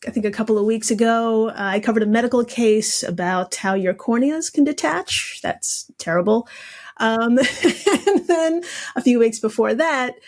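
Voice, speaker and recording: A woman's voice: fairly high pitch, a little mellow. An enthusiastic, jokey woman chatting casually as a podcast guest. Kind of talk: casual chat with joking asides.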